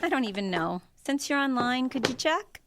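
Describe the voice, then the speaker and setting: An actress in an audio drama playing a long-suffering wife with a pointed way of talking. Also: female voice, sits high, animated, normal speed, background noise. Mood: sweet, casual. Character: exasperated working wife, sweet turning sharply disappointed. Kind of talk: naturalistic audio drama acting.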